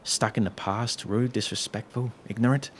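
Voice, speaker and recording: An actor playing a level-headed, dryly opinionated companion in an audio drama, measured and wry. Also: male voice, lowish voice, steady tone, close to an everyday voice. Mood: wry, cautioning. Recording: noisy, with background ambience.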